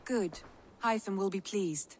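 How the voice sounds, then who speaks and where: An actress playing a composed, shrewd Norse clan adviser in a video game, grave and articulate. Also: female voice, mid-range voice, measured pace, darkish tone, natural voice. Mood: composed, concerned.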